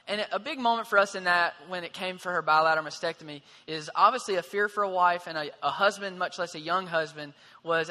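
A young man in his twenties recounts events earnestly and at a measured pace, with many pauses and fillers. His voice sits high for a man and sounds very bright. A long hall reverb follows each phrase. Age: 20s. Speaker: husband sharing testimony. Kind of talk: earnest storytelling.

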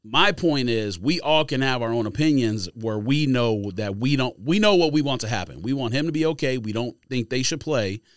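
A man's voice: mid-range voice, lively intonation, normal speed, hardly any pauses, no room sound, studio quality. An American man co-hosting a podcast, arguing insistently in a casual sports debate. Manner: argumentative, insistent. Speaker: podcast co-host.

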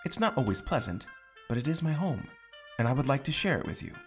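A woman doing a low-voiced earnest boy mouse. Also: big pitch swings, fast, soft background music, heavily acted. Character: brave, earnest young male garden mouse.

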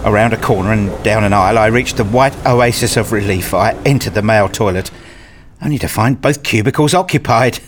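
A wry, anxious, nerdy dad played by a man telling his story in a radio play. His voice is on the low side, with dramatic, formal phrasing and noticeable noise behind it. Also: fairly quick, natural voice. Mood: panicked. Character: self-deprecating, anxious, nerdy father narrating his day. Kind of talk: first-person radio play narration.

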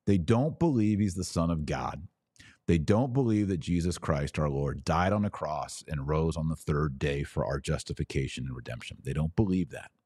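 A man with a low voice hosts a religious podcast in a very dry recording. He speaks informally and directly to his listeners. His delivery is conversational, with firm emphasis on his points. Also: expressive, moderate pace, mellow, breathy edge, no room sound. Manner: emphatic, conversational, acts out imagined dialogue.

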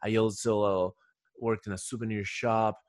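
A man's voice: fairly low pitch, level tone, normal speed, dry recording, clean studio sound. A man from Ecuador talking casually as a podcast guest, telling stories in a rambling way with repeated restarts. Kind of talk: casual conversational storytelling.

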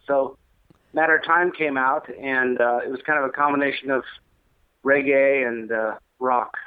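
A man's voice: mid-range voice, fairly even intonation, moderate pace, smooth, no room sound. A man recalls his band's early days in a loose, rambling manner, full of ums and uhs. His voice comes through a tinny phone line. The sound is dry and close. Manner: rambling, lots of fillers. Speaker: interview guest, musician. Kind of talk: casual interview.

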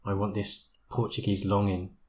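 A man's voice: lowish voice, monotone, moderate pace, a little mellow, slight background noise. A man co-hosting a podcast, chatting in an upbeat, emphatic way. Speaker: podcast co-host. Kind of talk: casual chat.

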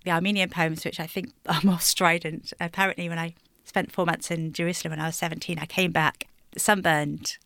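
Brief: poet guest, woman from England, lowish voice, dry close sound, reflective and warm. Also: level tone, a little fast, hardly any pauses, dry recording. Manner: warm, thoughtful, laughs lightly between thoughts. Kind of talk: reflective interview answers.

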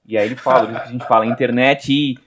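A Brazilian man with a medium-pitched voice co-hosts a podcast. He explains ideas casually and has fun with how words are said. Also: steady tone, racing, slight background noise. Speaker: podcast co-host.

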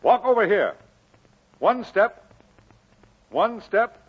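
An actor playing a kindly, earnest, encouraging minister in an old radio drama, exhorting in a solemn, measured way. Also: male voice, high-pitched, big pitch swings, a little hiss or hum, heavily acted. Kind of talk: 1940s radio drama acting. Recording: muffled old radio broadcast.

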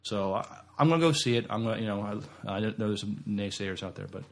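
A man co-hosting a podcast in casual discussion, halting and often repeating the starts of words. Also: on the low side. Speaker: podcast co-host.

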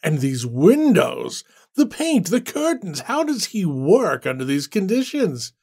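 A man's voice: medium pitch, lively intonation, slow, gravelly, a little mellow, full character voice. A man playing a scornful, sarcastic man who sneers at everything. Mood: mocking. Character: scornful sardonic man, mocking and brash.

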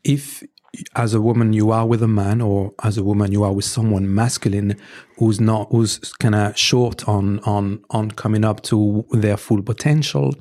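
A man with a French accent, whose first language is French, earnestly giving relationship advice as a guest in a conversational interview. He hesitates often, restarting phrases and filling pauses with "um" as he works through his points. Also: lowish voice, fairly even intonation, a little mellow. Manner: earnest and advising, with frequent fillers and restarts. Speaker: podcast guest.

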